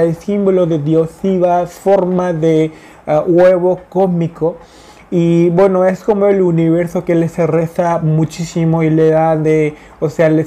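A man in his thirties from India speaking Spanish as a learned language with an Indian accent, chatting to camera with many fillers and repeats, recorded on a lapel mic in a small room. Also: a little high. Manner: animated, gesturing hands. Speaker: vlogger. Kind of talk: casual talk to camera. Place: small indoor room in front of a blue wall.

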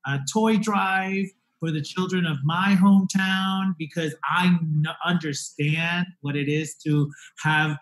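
A man hosting a talk show over a video call, talking in an animated, expressive way. His voice sits a bit higher than most men's and comes through a desk mic in a living room. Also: slow, few pauses. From Puerto Rico. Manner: animated, gestures with hands while talking. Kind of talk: casual video call interview. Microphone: desk podcast mic on video call.

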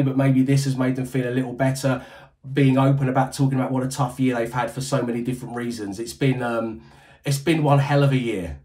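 Casual British radio host, 40s, rambling and self-mocking, on a home video call. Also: male voice, mid-range voice, a little mellow. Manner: rambling, self-deprecating, many restarts. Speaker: radio breakfast show host. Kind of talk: casual interview chat. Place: home room. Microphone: headset on video call.

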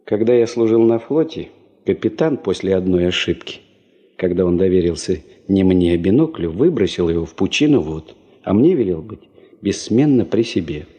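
A folksy, joking old-time prospector in a seventies Soviet radio play. His voice is husky and mellow, swinging widely as he spins mock-grand phrases. The tape sounds a little dull. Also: low voice, expressive, moderate pace. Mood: bragging, folksy. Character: chatty, wry working man, ex-sailor and prospector.

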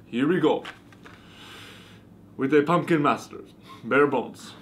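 A man's voice: on the high side, slow, a little hiss or hum. A man playing a cranky, theatrical ancient vampire host with a put-on Transylvanian accent, ranting and swearing comically in character. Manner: hammy, ranting, profane.

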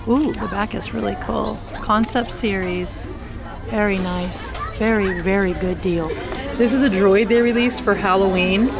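A woman filming herself in a big indoor store on a body camera, eagerly gasping over finds and reading out prices. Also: medium pitch. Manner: excited, gasps at finds, reads out prices. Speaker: vlogger showing outlet deals. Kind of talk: casual walk-through shopping vlog. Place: large indoor retail store. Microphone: body-worn action camera. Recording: music playing in the store behind her. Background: store music.

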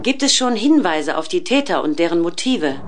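Measured female news anchor. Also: fairly low pitch, big pitch swings. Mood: composed, formal. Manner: measured, formal. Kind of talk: formal newsreading in a radio play. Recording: faint background noise.

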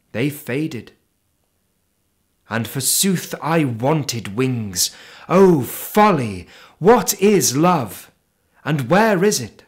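A man reciting poetry as a reader, with a measured, expressive and theatrical delivery. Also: medium pitch.

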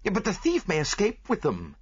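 A man voicing an earnest, impatient, easily baffled British gentleman, eager and exclaiming, in an audiobook mystery. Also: high-pitched, huge pitch swings, brisk, breathy edge, dry recording, muffled recording, pitched above the performer's own voice, exaggerated voice. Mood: agitated.

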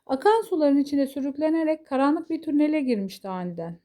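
A woman's voice: high-pitched, lively intonation, fast talker, dark tone. A woman reading a scripted fairy tale for children as a storyteller.

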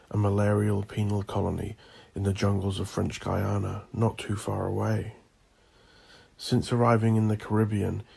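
A man narrating scripted horror fiction in an ominous, deliberate, theatrical way, with a gravelly, husky voice. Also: on the low side, a little mellow.

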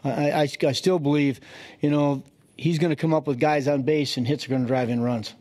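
A middle-aged man, a baseball manager, answering questions at a post-game press conference, halting and restarting his sentences. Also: fairly high pitch, fairly even intonation, darkish tone. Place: press room with sponsor backdrop. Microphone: podium microphone.

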